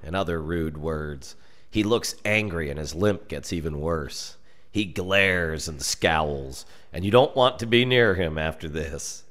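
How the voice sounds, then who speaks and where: An American man reading a darkly humorous story aloud in an expressive, measured and dramatic storytelling manner. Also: deep voice. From United States. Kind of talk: expressive scripted narration of a humorous dark tale.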